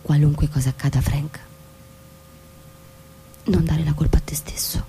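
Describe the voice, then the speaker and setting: An actress playing a disillusioned, coldly defiant wife in a theatrical radio drama, speaking deliberately with pointed pauses. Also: female voice, low voice, little pitch movement, close to an everyday voice. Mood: sombre, resigned. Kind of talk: theatrical stage-play acting for radio.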